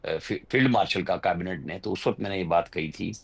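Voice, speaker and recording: A man's voice: sits low, brisk, creaky, slightly rough. A man with a Pakistani accent giving unscripted political commentary as a journalist, explaining insistently and at winding length. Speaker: journalist, political analyst guest.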